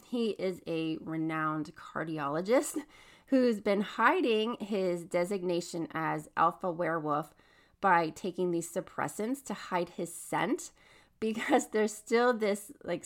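An adult woman in her thirties hosting a podcast, chatting about books in a bubbly, enthusiastic way, her voice slightly raspy as she gets over a cold. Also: fairly low pitch, a bit bright. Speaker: podcast host. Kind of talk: casual book review chat.

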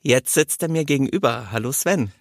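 A man's voice: mid-range voice, animated, moderate pace, over loud music. A man hosting a podcast, giving prepared introductions and interviewing in a conversational way.

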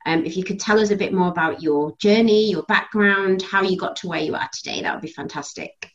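A woman's voice: mid-range voice, brisk, muffled recording. A woman hosting a podcast interview, introducing her guest with enthusiasm and frequent hesitations. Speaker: podcast host.

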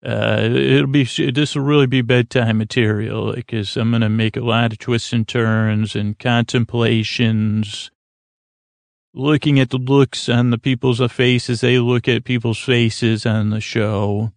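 A man hosting a bedtime podcast in a creaky voice, meandering and halting through tangents and self-corrections in a lulling, reassuring way. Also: medium pitch, flat delivery, no echo. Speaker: podcast host. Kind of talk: rambling bedtime sleep podcast monologue.

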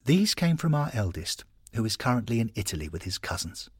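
Gentle older lady, soft and genteel, put on by a male narrator. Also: mid-range voice, sing-song, fairly quick, husky, no echo, clean studio sound. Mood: kindly. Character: older woman, doctor's wife, calm and kind.

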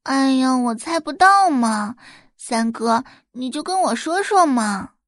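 A sweet, coquettish young girl acted by a woman for an audio drama. She speaks in a lively, high, swooping voice, pleading cutely and stretching her words like a pampered little sister. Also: dry recording, clean studio sound, heavily acted. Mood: playful, pouting. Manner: cutesy wheedling with drawn-out words. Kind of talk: Chinese audio drama acting.

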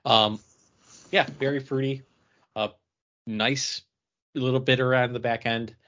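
A man's voice: mid-range voice, steady tone, a little slow, a bit bright. A man talking casually as a podcast co-host. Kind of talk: casual chat. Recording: dull lo-fi podcast audio.

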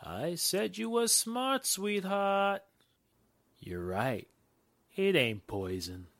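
A man's voice: high voice, very animated, very slow, fairly bright tone, exaggerated voice. An actor playing a menacing American gangster henchman in a 1940s radio drama, talking in sneering, slangy tough-guy style. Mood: smug.

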